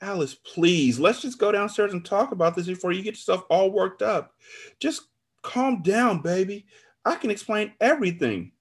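Man voicing a pleading, anxious woman, pitched high. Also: sing-song, normal speed. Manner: pleading, placating. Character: guilt-ridden woman narrator pleading with her angry friend.

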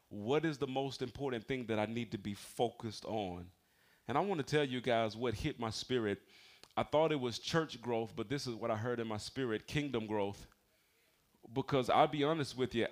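A man in his forties with an American accent preaching as a pastor, mixing personal testimony with emphatic, conversational appeals for amens. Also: mid-range voice. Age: 40s. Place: speaking to a congregation in a church building.

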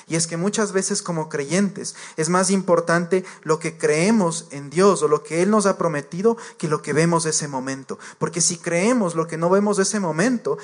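A man preaching a sermon to a congregation, earnest and direct, repeating his points and addressing the listeners as believers. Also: on the high side.

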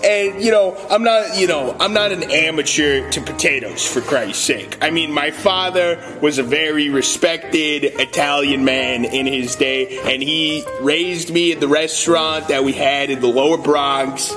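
A man playing an indignant Italian-American Bronx guy, telling his tale haltingly and repetitively in a radio comedy sketch. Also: fairly high pitch, over music, natural voice. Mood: exasperated.

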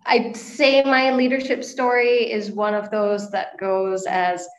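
A woman's voice: mid-range voice, level tone, slow pace. Echoey young woman from Sri Lanka. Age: late 20s. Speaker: podcast guest, nonprofit and social enterprise manager. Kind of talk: conversational interview answers. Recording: echoey room, dull lo-fi call audio.

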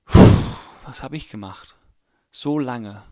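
A man's voice: medium pitch, slow pace, darkish tone, no room sound, studio quality. An Englishman in his late thirties, whose first language is English, hosting a casual solo podcast in German with an English accent, rambling and mocking himself as he thinks aloud. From England. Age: late 30s. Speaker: podcast host.

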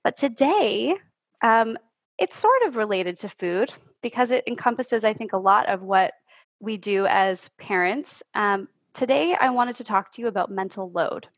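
Enthusiastic woman hosting a podcast. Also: a little high. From Canada. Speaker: podcast host and life coach. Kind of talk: podcast interview.

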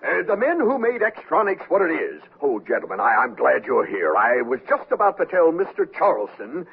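Flustered, excitable company chairman, swooping pitch. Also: normal speed, creaky, narrow, tinny audio. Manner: hesitant, stammering, blustery. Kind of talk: 1970s radio comedy acting. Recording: thin, noisy old radio broadcast.

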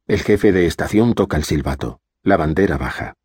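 A man reading a literary audiobook in a measured, steady way. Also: low voice, slightly rough, no echo, clean studio sound. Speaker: audiobook reader.

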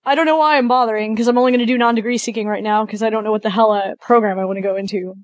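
Woman, podcast co-host, fairly high voice, emphatic, dry close mic. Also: fairly even intonation, rapid-fire, no echo. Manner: emphatic, exasperated. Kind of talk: casual chat.

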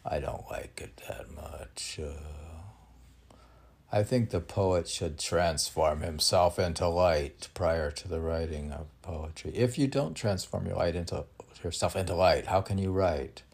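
A man's voice: sits low, a little slow, creaky, a little mellow, husky. A man reading and discussing his own poetry as a podcast, rambling and questioning himself aloud. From United States. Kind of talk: rambling self-questioning monologue. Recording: noisy room with air-conditioner hum.

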